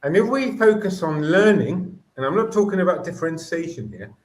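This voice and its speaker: A man's voice: on the high side, sing-song, fairly quick, echoey room, slight background noise. A man whose first language is Greek, a former school principal, talking about education in an earnest, passionate way with frequent restarts. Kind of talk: conversational discussion.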